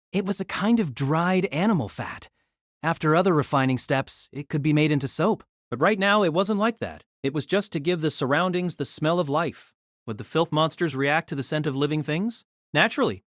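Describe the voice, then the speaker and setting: A man's voice: a little high, no echo, studio quality. A man reading scripted narration for a fantasy story. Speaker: narrator of a fantasy audio drama.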